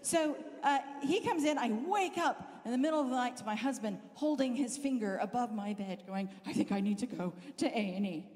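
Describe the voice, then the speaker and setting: Middle-aged Southern Californian woman, guest preacher, bright and higher-pitched, playful, recorded live in a reverberant church. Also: brisk, few pauses. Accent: Southern Californian, softened by years in the UK. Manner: animated, self-deprecating, laughs often. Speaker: guest speaker. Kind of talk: humorous storytelling sermon. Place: church hall.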